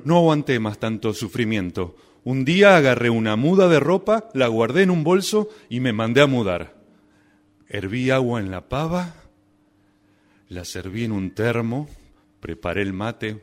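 A man's voice: medium pitch, expressive, normal speed, lightly acted. Man acting a melodramatic, exasperated Argentine husband. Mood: rueful narration. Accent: Argentine Spanish. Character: anguished, melodramatic man addicted to mate. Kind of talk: comic radio drama, acting and narrating.

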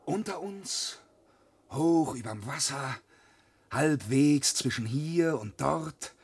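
An actor playing a young boastful peasant son who spins wild tales in a classic radio play, swinging from loud to hushed. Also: male voice, a little high, expressive, takes it slowly, slightly rough, full character voice. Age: young adult.

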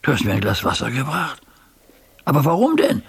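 A bedridden old man in a radio play, his voice badly husky and full of gravelly creak. He is forgetful and needy, asking things in a querulous, childlike way. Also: mid-range voice, wildly swooping pitch, a little fast, fairly bright tone, heavily acted. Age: older. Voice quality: raspy, creaky. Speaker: radio drama actor. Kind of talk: radio drama dialogue.

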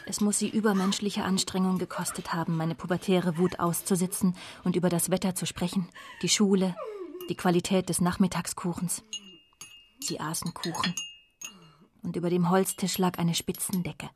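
A woman's voice: on the low side, level tone, moderate pace, a little mellow, close to an everyday voice. An actress playing a disillusioned woman of twenty-nine, narrating her life soberly in a radio drama. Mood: bitter, reflective. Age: young adult. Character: disillusioned 29-year-old daughter of a prostitute, coolly confessional.

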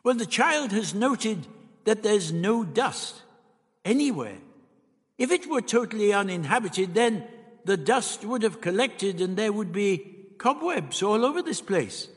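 A man voicing an elderly gentleman doctor, dry, fussy and shrewd, with hesitant uh's, in a storybook reading. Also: high voice, very animated, unhurried, long reverb tail, voice pushed up. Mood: musing.

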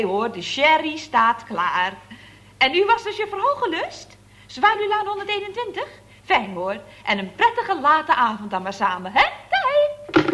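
A woman's voice: high-pitched, sharp tone, exaggerated voice. An actress playing a chatty, cheeky female secretary at a building firm in a 1960s radio play. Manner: gossipy, sing-song phone manner.

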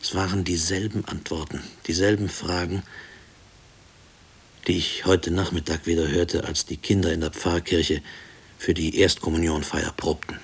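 An actor playing a haunted middle-aged former prisoner, speaking a hushed, deliberate, brooding radio play monologue. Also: male voice, deep voice, little pitch movement, slight background noise. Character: haunted male former prison inmate, brooding family man. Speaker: narrator and lead character of a radio play. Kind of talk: brooding first-person radio drama monologue.

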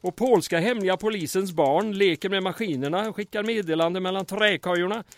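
An actor playing a wry, unflappable small-town mayor in an audio drama, speaking in a dry, ironic, wordy way. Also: male voice, high-pitched. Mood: dryly teasing.

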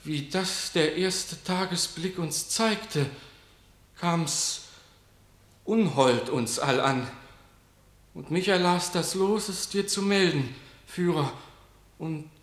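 A breathless, hesitant guard. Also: male voice, sits high, slow pace, long pauses. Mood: reporting, uneasy. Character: anxious male guard dreading his master. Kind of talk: classical verse radio drama. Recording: roomy reverberant room.

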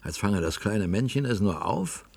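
Gravelly, low-voiced brooding worker. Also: male voice, lively intonation, moderate pace, darkish tone, no echo, exaggerated voice. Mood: brooding, resigned. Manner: musing, resigned. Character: brooding, weary male worker confined to a cellar control room. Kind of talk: German science-fiction radio drama acting.

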